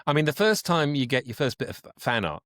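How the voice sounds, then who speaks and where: A man's voice: mid-range voice, sing-song, quick pace, a bit bright, no room sound, studio quality. A man chatting casually as an interview guest, effusive and emphatic about his work.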